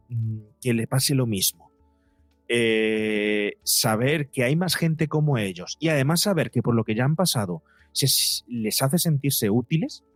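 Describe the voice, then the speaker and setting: A man's voice: medium pitch, dry recording. Spanish man explaining, in a dry close recording. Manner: explains with examples and repeats words for emphasis. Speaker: psychologist and podcaster, interview guest. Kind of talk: explanatory conversational interview talk.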